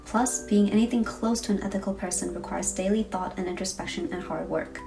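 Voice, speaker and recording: A woman's voice: mid-range voice, steady tone, fairly quick, vocal fry. A young woman in a casual diary vlog, talking in a tired, chatty, self-deprecating way. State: hoarse, tired voice. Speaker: vlogger. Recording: distant mic with loud music behind.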